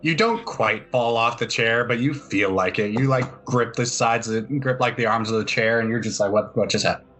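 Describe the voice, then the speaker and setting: A man's voice: medium pitch, moderate pace, hardly any pauses, fairly bright tone. A man working as game master, narrating a tabletop roleplaying game in a casual, conversational way. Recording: music behind the voice.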